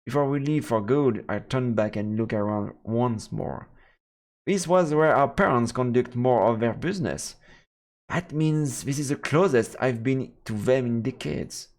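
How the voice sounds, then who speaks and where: A man's voice: mid-range voice, unhurried, a little mellow. A man livestreaming a visual novel, switching between casual muttered commentary and reading the narration aloud over game music. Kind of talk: casual let's play chat mixed with read-aloud narration.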